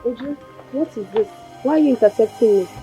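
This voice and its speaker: A woman's voice: fairly high pitch, brisk, warm tone, faint music behind, natural voice. A woman playing a wronged young villager with a Nigerian accent, pleading yet indignant, in film drama style. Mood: conciliatory. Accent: Nigerian English. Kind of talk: Nollywood film drama acting.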